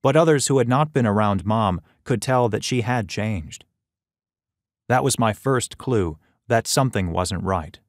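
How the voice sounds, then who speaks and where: A man's voice: on the low side, a little slow, dry recording. Man narrating an audiobook, dry close sound. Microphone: close studio microphone.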